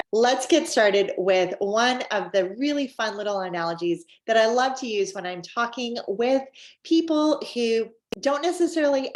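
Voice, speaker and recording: A middle-aged woman with a Canadian accent giving an upbeat, chatty wellness presentation, with frequent fillers. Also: medium pitch. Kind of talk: scripted-feeling sales presentation. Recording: roomy echo.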